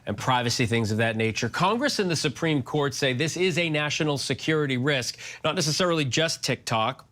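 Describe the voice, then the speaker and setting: Male news anchor, mid-pitched. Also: a bit bright. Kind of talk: broadcast news report and interview.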